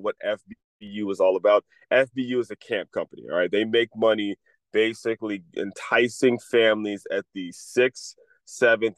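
Male podcast host, congested, low voice, rambling casual delivery. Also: dry recording. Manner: rambling with frequent restarts. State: congested, under the weather. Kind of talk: casual solo talk, advice to athletes.

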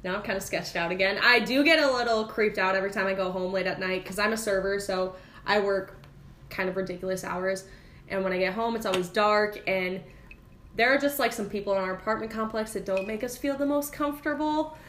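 A woman's voice: mid-range voice, a little fast, fairly bright tone. A young woman chatting casually as a podcast co-host, telling stories and laughing often. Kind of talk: casual chat. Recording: echoey room, faint ambient noise.

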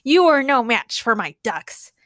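A woman's voice: fairly high pitch, big pitch swings, measured pace, fairly bright tone, no room sound, studio quality. A woman storyteller voicing a boastful, dramatic male villain obsessed with his own power. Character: melodramatic, boastful male duck-commanding villain.

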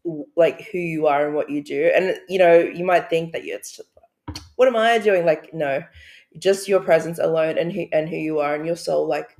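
A woman's voice: low voice, normal speed, darkish tone. A woman co-hosting a casual podcast interview, chatty and laughing often. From Australia. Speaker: podcast co-host.

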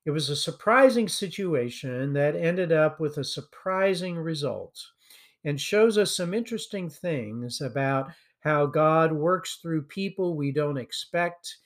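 A man hosting a sermon podcast, delivering a prepared message in a measured way that trails off at the end of phrases. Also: a little high. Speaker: podcast host and preacher.

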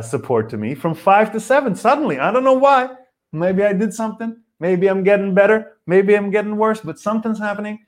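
A man, a comedian hosting a casual podcast, rambling and animated with many fillers while he reads viewer comments. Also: high-pitched. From Israel. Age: 40s.

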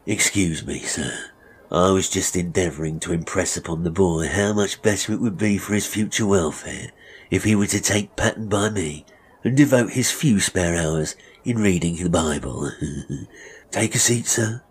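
A man's voice: low voice, moderate pace, slightly rough. A man voicing a menacing, mocking murderous barber in a Victorian melodrama story. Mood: oily politeness. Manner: mocking, threatening, chuckling, oily when polite. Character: menacing, sneering murderous male barber, gloating villain.

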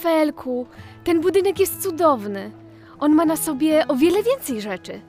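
Woman playing a curious little girl, high and animated. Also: normal speed, faint music behind. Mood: awed, excited. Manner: wondering, eager, inquisitive. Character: curious, enthusiastic little girl exploring with brother. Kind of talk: children's audio play acting.

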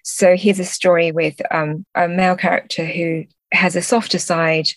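A woman's voice: on the low side, flat delivery, moderate pace, dry recording. A woman answering as a podcast guest in a thoughtful conversational way, often searching for words and correcting herself. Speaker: podcast guest, translator and book editor.

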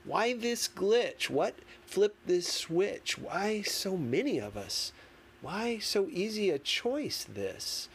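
A man reading a memoir as an audiobook, in a deliberate, emphatic manner with dramatic pauses. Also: high voice, big pitch swings. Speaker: audiobook reader. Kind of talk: expressive scripted narration of a memoir. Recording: clearly noisy background.

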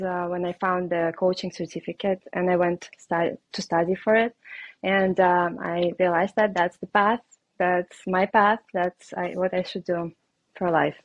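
A woman's voice: medium pitch, no room sound, lo-fi sound. Russian-accented woman, hesitant and dry-recorded. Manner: hesitant, many ums, laughs lightly. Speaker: guest coach. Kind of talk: casual interview answers.

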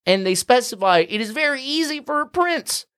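A man hosting a casual podcast, explaining at length in an animated way, cursing freely. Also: extremely high pitch, moderate pace, smooth, dry recording, clean studio sound. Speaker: podcast host.

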